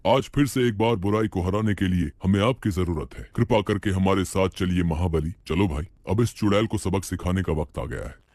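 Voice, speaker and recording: A loyal male friend from a cartoon tale, acted by a man. The voice is lowered in pitch and dry. The tone is earnest, solemn and resolute. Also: normal speed, hardly any pauses, darkish tone, no echo, close to an everyday voice. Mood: devout resolve.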